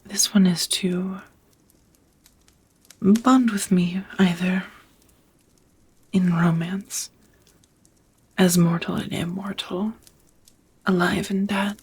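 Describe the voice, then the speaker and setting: A woman playing a tender, nervous ancient vampire in an intimate ASMR romance roleplay, with hesitant, slightly old-fashioned phrasing and a whispery, husky voice. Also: mid-range voice, steady tone, slow pace, pauses often, a little mellow, a little hiss or hum, lightly acted, low-quality recording. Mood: delighted, teasing.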